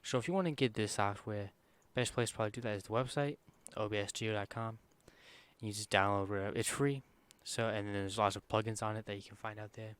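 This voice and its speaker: A man with a quiet voice walking through a screen-recorded software tutorial in a casual, rambling way, full of fillers and self-corrections. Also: on the low side, fairly even intonation, fast talker, clean tone. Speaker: streamer giving a software tutorial.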